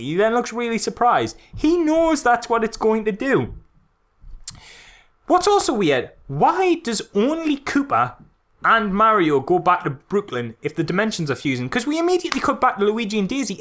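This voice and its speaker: A man's voice: high-pitched, very animated, moderate pace, fairly bright tone. A man co-hosting a podcast, chatting casually and critiquing a film in a jokey, mock-dramatic way. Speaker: podcast co-host.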